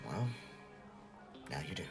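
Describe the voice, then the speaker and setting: A man playing an easygoing, brotherly teenage friend in an audio drama, musing philosophically, at times breathy. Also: measured pace, a bit bright, lightly acted. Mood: reassuring. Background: music.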